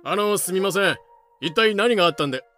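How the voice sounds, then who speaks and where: Male actor as hot-headed pirate, cocky, sharp bright voice, lively swings, audio drama. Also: sits high, fast talker, soft background music. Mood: cocky, puzzled. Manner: blustering, cocky. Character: brash, dim-witted, hot-headed male pirate captain. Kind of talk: fan-made audio drama acting.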